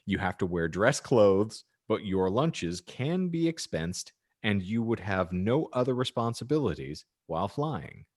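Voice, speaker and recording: A man's voice: on the low side, a little mellow. A man hosting a comedy podcast, casually chatting and reading out a scenario with dry, mock-formal phrasing. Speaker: podcast host.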